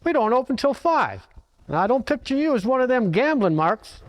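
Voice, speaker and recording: A man playing a slangy, animated war-veteran piano player, an old pal in a 1940s-style detective parody. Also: extremely high pitch, normal speed. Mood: hearty.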